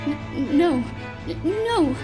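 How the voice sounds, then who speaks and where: Woman doing a frantic stammery lady cook, high voice, audio drama, bit of music behind. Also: animated, very slow, heavily acted. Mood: nervous, evasive. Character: jittery, obsessive diner cook hiding a grisly secret.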